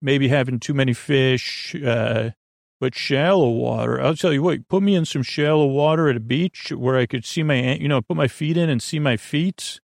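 A man hosting a sleep podcast, rambling in a meandering, halting way, stammering and restarting his sentences, with a creaky voice. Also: mid-range voice, no echo, clean studio sound. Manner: meandering, halting, stammering with repeated false starts. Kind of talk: rambling bedtime monologue.

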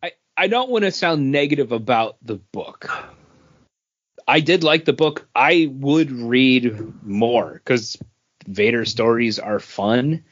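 Slow-paced man chatting on a podcast. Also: mid-range voice, no echo, dull audio. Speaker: podcast co-host reviewing comics. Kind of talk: casual chat.

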